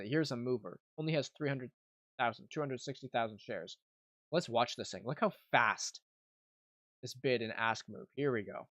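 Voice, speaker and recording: A man's voice: medium pitch, a bit bright, no echo. A young man giving casual, informal live trading commentary as a livestream host, thinking aloud as he goes. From Canada. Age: young adult. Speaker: livestream host, amateur day trader.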